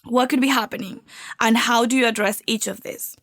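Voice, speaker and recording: Woman host, recovering from a cold; warm confiding podcast delivery, dry close mic. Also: on the high side, fairly even intonation, fairly quick, no room sound. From Venezuela. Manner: upbeat, heartfelt, confiding. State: getting over a cold. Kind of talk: solo podcast talk.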